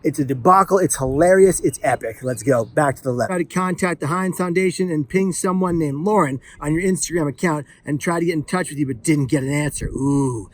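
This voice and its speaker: A man's voice: on the high side, brisk, hardly any pauses. A man chatting casually as a vlogger, exuberant, playful and emphatic in his delivery. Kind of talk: excited casual vlog chat while opening a parcel.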